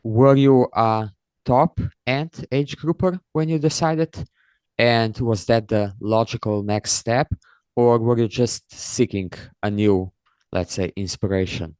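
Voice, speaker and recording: A man's voice: medium pitch, slow, darkish tone, smooth, no echo. A man hosting a podcast who opens with an intro and then asks interview questions in long, structured sentences. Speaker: podcast host.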